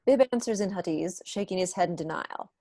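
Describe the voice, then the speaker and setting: A woman's voice: fairly low pitch, fairly quick, dark tone, dry recording, studio quality. A young adult woman reading stage directions as narrator in an online table read, recorded at home over earphones on a video call. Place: small room at home, indoors. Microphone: wired earphones on a video call.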